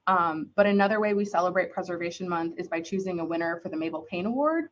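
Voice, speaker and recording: A woman's voice: lowish voice, fast. A woman giving a semi-formal staff presentation at a public meeting. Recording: dull, lo-fi online meeting audio.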